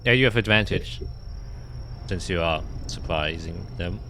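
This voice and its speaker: A man's voice: low-pitched, measured pace, slight background noise. A man acting as game master, narrating and refereeing a tabletop roleplaying game. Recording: loud music under the voice. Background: loud background music.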